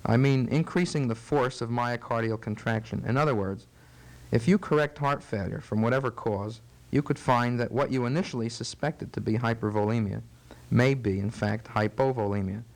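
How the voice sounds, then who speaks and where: A man's voice: medium pitch, normal speed, low-quality recording. A man speaking as a physician in a teaching interview, explaining things formally, precisely and methodically. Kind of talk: measured medical teaching interview.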